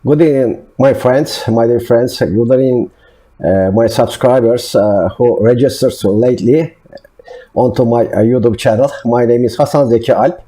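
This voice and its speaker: A hoarse man with a Turkish accent speaks in a halting, stop-start way, filling every pause. His voice is dark and mellow, sitting at an ordinary pitch for a man. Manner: hesitant, many filler sounds. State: hoarse from a flu. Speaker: YouTube channel host. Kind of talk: halting spoken introduction.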